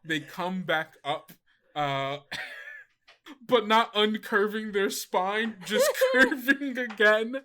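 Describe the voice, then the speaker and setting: A man improvising narration as game master of a tabletop game, in a halting, amused way. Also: high voice, big pitch swings, sharp tone, a little hiss or hum.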